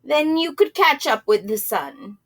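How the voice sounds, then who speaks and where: A woman's voice: sits high, expressive, unhurried, voice pushed up. A woman voicing an encouraging storybook woman who speaks emphatically in riddles.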